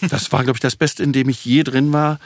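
A man's voice: mid-range voice, level tone, fairly quick, no room sound. A man in his sixties, an actor from Berlin with standard speech, telling self-mocking anecdotes as a podcast guest. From Berlin, Germany. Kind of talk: relaxed storytelling in a podcast interview.

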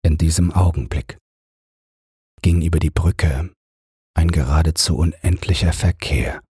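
A man's voice: extremely low pitch, steady tone, a little slow, mellow, husky, no echo, studio quality. A man reading as an audiobook narrator, in scripted literary narration meant for relaxing and falling asleep. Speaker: audiobook narrator and podcast host.

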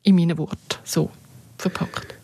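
A woman's voice: on the low side, steady tone, moderate pace, warm tone, breathy edge, whispered. A woman reflecting as an interview guest, thoughtfully building her answers phrase by phrase. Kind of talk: reflective conversational recollection.

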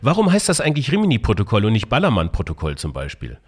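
A man's voice: lowish voice, huge pitch swings, fast, husky. A man hosting a podcast, talking informally and jokingly in a casual interview style. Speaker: podcast host.

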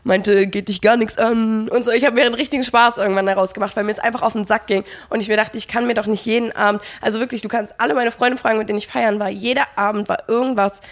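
A young woman co-hosting a podcast, telling a personal story in casual chat and acting out the quoted dialogue. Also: fairly high pitch. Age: young adult. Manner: fast, animated, acts out quoted dialogue, frequent fillers. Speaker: podcast co-host.

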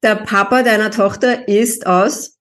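A woman's voice: mid-range voice, steady tone, unhurried, fairly bright tone. Affirming woman interviewer. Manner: engaged, affirming listener. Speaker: interviewer, linguist. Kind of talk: conversational interview.

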